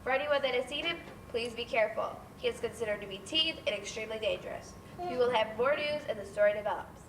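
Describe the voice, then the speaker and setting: A woman's voice: fairly high pitch, quick pace, close to an everyday voice. A woman playing a serious breaking-news reporter in a stage play. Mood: urgent, formal. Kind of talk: stage play newscast delivery. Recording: roomy, distant stage recording with faint noise.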